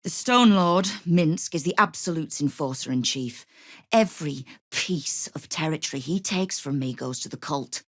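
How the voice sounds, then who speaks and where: A woman's voice: low-pitched, expressive, normal speed, dry recording. An actress playing a tough, sardonic woman who runs a city thieves' guild in a fantasy video game, with a dry, commanding manner. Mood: bitter. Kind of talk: video game character acting.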